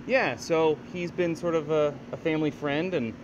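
A man from Northern California, an artist being interviewed outdoors, speaking in a relaxed, storytelling way with many uhs. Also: fairly high pitch, normal speed. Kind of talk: casual on-street interview. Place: outdoors on a city street. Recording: dull, noisy street audio.

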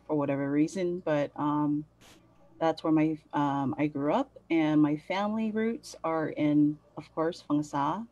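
A woman's voice: low voice, dark tone, no room sound. A middle-aged woman from American Samoa and Hawaii, answering interview questions conversationally as a guest. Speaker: interview guest, census partnership specialist. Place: indoors on a video call. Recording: dull lo-fi video call.

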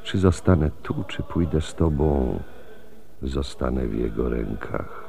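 An actor playing a calm, fearless, compassionate priest in a radio drama, solemn and gently measured. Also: male voice, very deep voice, gravelly, heavily acted. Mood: calm, resigned.

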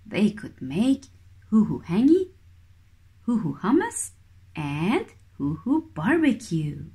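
A woman reading a storybook aloud to young children with expressive, deliberate phrasing. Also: mid-range voice. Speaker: children's book reader.

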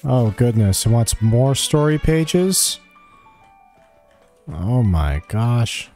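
A man's voice: mid-range voice, big pitch swings, drawn-out words, mellow, soft background music. A man giving a casual video game walkthrough, thinking aloud in short, offhand remarks as he plays. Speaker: gamer giving a quest walkthrough. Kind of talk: casual gameplay commentary.

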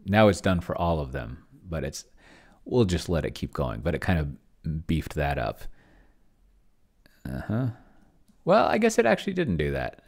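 A man's voice: rumbling low, wildly swooping pitch, unhurried, creaky, pauses often. A middle-aged man giving casual screencast commentary, rambling and musing to himself as he reads along. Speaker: host playing solo tabletop roleplaying with a chatbot. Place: small cluttered indoor room.

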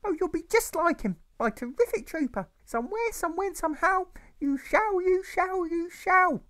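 A man's voice: very high voice, animated, normal speed, fairly bright tone, pitched above the performer's own voice. A man voicing a doting, evasive mother in an audiobook story, gushing and effusive.

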